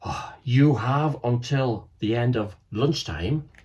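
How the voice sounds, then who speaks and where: A man voicing a pompous, scheming male villain in a children's storybook, with slow, booming, gloating delivery. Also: expressive, darkish tone, highs cut off. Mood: menacing.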